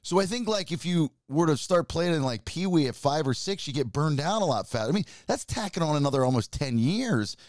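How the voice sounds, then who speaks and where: A man's voice: on the high side, lively intonation, a little fast, hardly any pauses, dry recording. A man in his forties hosting a podcast interview, enthusiastic and chatty, speaking into a studio mic in a home studio. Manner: enthusiastic, talks with his hands. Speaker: podcast host. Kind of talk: casual interview chat. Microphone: studio mic with headphones.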